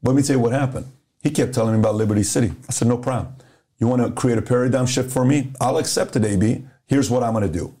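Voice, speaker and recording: A man's voice: medium pitch, fast. A middle-aged man from Iran, a podcast guest, telling stories in casual talk and acting out the dialogue. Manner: animated storyteller who acts out dialogue.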